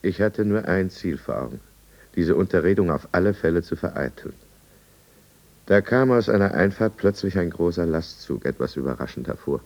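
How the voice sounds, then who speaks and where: Remorseful drinker-narrator, 1950s radio drama; bottomless bass, measured confession, dull archival recording. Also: male voice, lively intonation, darkish tone. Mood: confessional, tense. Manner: measured, brooding. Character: remorseful male drinker confessing his own downfall. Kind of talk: scripted first-person narration in 1950s German radio drama.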